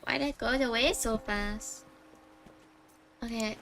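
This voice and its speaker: A woman's voice: a little high, fairly even intonation, slow, fairly bright tone. A young woman streaming a game, chatting playfully and giggling over the cutscenes. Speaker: VTuber streamer. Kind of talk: casual live-stream chatter. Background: game music.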